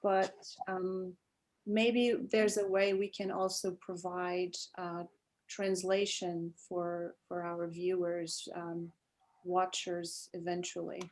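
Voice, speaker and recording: A woman's voice: fairly low pitch, level tone, slow, a little mellow. Hesitant woman from Portugal on a call. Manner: hesitant, many ums and restarts. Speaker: guest. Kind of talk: casual video-call chat. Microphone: video call.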